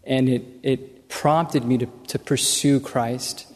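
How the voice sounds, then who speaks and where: A man's voice: medium pitch, fairly even intonation, takes it slowly, darkish tone. A man from the audience asking a question, speaking earnestly and hesitantly with frequent restarts. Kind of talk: questions from the floor. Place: large church auditorium. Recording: long reverb of a large hall.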